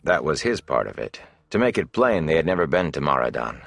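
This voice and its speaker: A man's voice: rumbling low, very animated, a little fast, creaky, fairly bright tone, dry recording, faint music behind. A man reading scripted fantasy narration as an audiobook narrator.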